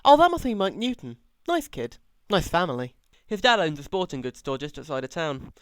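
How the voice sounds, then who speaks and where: A man voicing a middle-aged small-town police chief, a gruff but fond father, in a sarcastic audiobook reading. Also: a little high, sing-song, fairly quick, no echo, studio quality. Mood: chatty approval.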